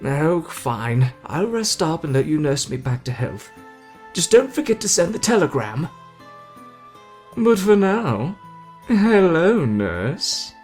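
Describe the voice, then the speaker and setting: A man playing a brash, excitable young hero in a dramatic, playful ASMR roleplay addressed to the listener. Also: a little high, pitched above the performer's own voice.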